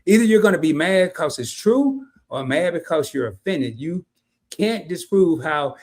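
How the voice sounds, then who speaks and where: A man co-hosting a casual live stream, reading out viewer comments and telling lively stories with quick asides. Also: on the high side. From family from Arkansas and Chicago, lived in California. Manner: animated storyteller who drops into quick asides and reads comments in voices. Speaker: co-host of a live discussion stream.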